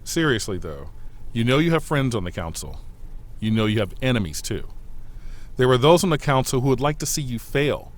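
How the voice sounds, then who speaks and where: Man acting an earnest, animated sci-fi bodyguard. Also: medium pitch, normal speed, close to an everyday voice. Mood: earnest, cautioning. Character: loyal, modest, watchful bodyguard and aide to an ambassador. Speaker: actor in an audio drama. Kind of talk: dramatic dialogue in a science fiction audio drama.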